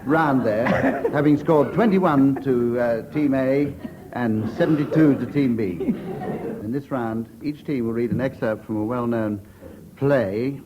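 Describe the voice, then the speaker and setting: A man's voice: mid-range voice, unhurried, lo-fi sound, a crowd in the background. A British man chairing a comedy panel show in a dry, formal, understated and rather hesitant way. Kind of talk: dry comic game-show presenting.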